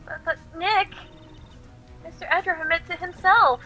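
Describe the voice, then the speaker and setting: A young woman voicing a curious, excitable young female sidekick in a game dub. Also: very high-pitched, moderate pace, faint music behind, pitched above the performer's own voice. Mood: worried.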